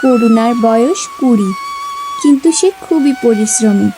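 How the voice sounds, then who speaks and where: A woman narrates a cartoon story in a high-pitched voice. It is scripted storytelling, with music clearly playing underneath. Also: lively intonation, a little slow. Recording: music bed behind narration.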